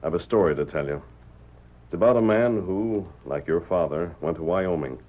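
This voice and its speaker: An actor playing a righteous masked frontier hero, speaking American English in a firm, measured, authoritative way in a radio western. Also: male voice, deep voice. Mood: grave, storytelling. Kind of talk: old-time radio western acting. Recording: muffled vintage radio drama.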